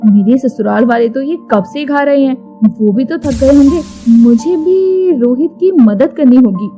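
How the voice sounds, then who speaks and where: Dutiful young bride, woman performer, gentle and earnest, high voice, storybook cartoon with music behind. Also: animated, unhurried. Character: sweet, dutiful young city-bred daughter-in-law. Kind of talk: animated moral-story acting.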